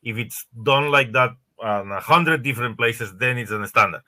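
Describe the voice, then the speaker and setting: A man answering questions as a panelist in an online webinar, halting and repeating words as he thinks. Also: medium pitch, sharp tone. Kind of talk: answering questions on a video call. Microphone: video call.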